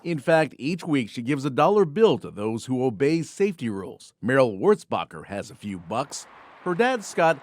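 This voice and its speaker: A man's voice: mid-range voice, sing-song, moderate pace. A man reading scripted narration for a television news feature as reporter and anchor.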